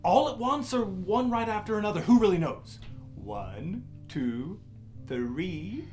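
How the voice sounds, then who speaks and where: A man acts out a bookish male professor ghost for a comedy web series. His voice swoops high and low as he lectures with theatrical, pedantic glee, with faint music behind him. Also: expressive, takes it slowly, a little mellow. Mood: pompous, musing. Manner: dramatic, lecturing.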